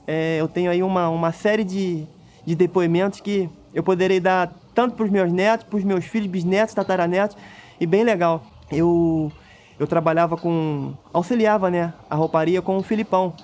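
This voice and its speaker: A man with a high, light voice looks back on his work with the team. He falters and repeats words as he gathers his thoughts, with faint noise behind him. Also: steady tone, moderate pace, darkish tone. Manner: hesitant, repeats words as he searches. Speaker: former team kit room assistant. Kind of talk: reminiscing documentary interview.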